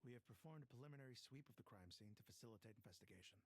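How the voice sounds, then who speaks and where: A man voicing a dutiful male officer in a video game, reporting in a formal, measured manner. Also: mid-range voice, expressive, breathy edge, dry recording. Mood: matter-of-fact.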